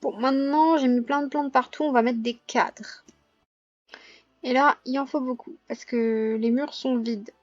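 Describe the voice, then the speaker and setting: A young woman with a high voice, hoarse from a cold, talks through her gameplay in a casual, halting way. She pauses often and corrects herself. The recording is close and dry, with a slightly muffled, lo-fi sound. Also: steady tone, a little mellow, no echo. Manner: hesitant, many filler pauses and self-corrections. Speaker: gaming vlogger.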